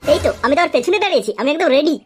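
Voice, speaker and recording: A woman's voice: high-pitched, animated, racing, background noise. A woman voicing a cheeky, playful, scheming young son in a comedy cartoon. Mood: eager. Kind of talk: comic cartoon dialogue.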